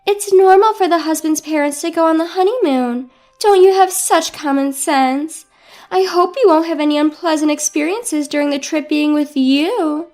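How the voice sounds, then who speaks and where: Woman putting on a pushy, high-pitched older mother-in-law. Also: moderate pace, a little mellow. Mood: scolding, condescending. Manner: haughty, artificially wounded, scolding. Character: pushy, smug, guilt-tripping mother-in-law of a new husband.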